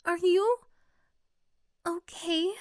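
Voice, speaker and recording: A woman's voice: very high-pitched, fairly even intonation, extremely slow, no room sound, clean studio sound, voice pushed up, exaggerated voice. A woman playing a bossy but caring young tsundere friend in an ASMR roleplay, insistent, fussing and tender. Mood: worried, insistent.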